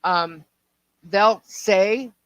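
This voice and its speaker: A woman with a deep voice co-hosting a casual podcast, talking emphatically and at length. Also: extremely slow, fairly bright tone, clean tone, dry recording. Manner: emphatic, talks at length, repeats herself. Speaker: podcast co-host. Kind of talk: casual opinionated chat.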